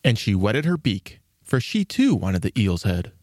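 A man reading scripted storybook narration as the narrator, in an expressive storytelling manner. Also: lowish voice, measured pace, no echo, studio quality.

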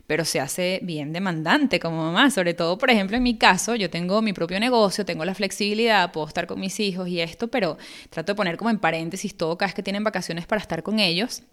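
A woman's voice: fairly low pitch, fast talker, fairly bright tone. A woman in her thirties from Venezuela, with a Venezuelan accent, hosting a casual solo podcast and chatting through her thoughts with small restarts. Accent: Venezuelan Spanish. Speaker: podcast host.

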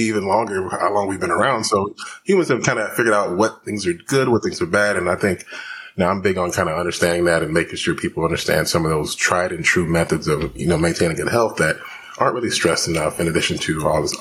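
A man from Houston, Texas, a physician guest talking fast with many fillers in a podcast interview. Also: sits low, a bit bright, a little hiss or hum. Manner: rapid, many fillers and restarts.

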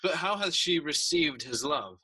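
A man's voice: on the high side, expressive, normal speed, pushed and bright, slightly rough, dry recording, exaggerated voice. A man playing a calculating king in a Shakespearean audio play, commanding and probing in manner.

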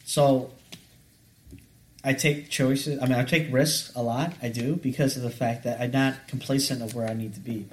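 A man in his late thirties with a mid-pitched voice lays out his ideas to a host. He talks earnestly in a casual chat and keeps checking that he is followed. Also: fairly even intonation, normal speed. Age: 30s. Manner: explaining earnestly, checking in with "right?" and "okay". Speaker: podcast guest.

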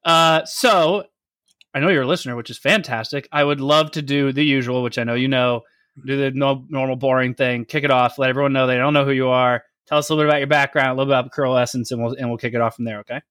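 A man hosting an interview podcast, friendly and upbeat, introducing his guest and moving quickly into questions. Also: medium pitch, fairly even intonation, a bit bright, clean tone, no room sound, clean studio sound. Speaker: podcast host.

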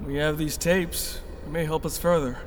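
Actor as guarded, slightly high-voiced male rebel. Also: steady tone, moderate pace, heavy background noise, lightly acted. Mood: somber. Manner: serious, clipped, commanding. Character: guarded, terse, cautious male rebel with grim past. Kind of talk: sci-fi radio drama acting. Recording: loud music under the dialogue. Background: loud music.

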